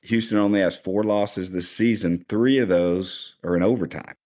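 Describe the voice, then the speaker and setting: A man with a cold and a raspy voice, chatting casually as a podcast host about basketball. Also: low-pitched, normal speed. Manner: relaxed, rambling, many fillers. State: hoarse from a cold. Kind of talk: casual sports chat.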